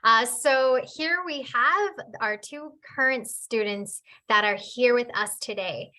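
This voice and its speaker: A woman's voice: a little high, slow pace, sharp tone. A woman moderating a university webinar, introducing the students and asking them questions in a cheerful, upbeat way over a video call.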